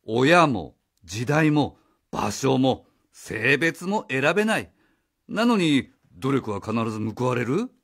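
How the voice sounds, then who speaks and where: A man's voice: mid-range voice, sing-song, slow pace, fairly bright tone, husky, full character voice. A man playing a blunt, cynical needler in a radio drama, arguing with sarcasm.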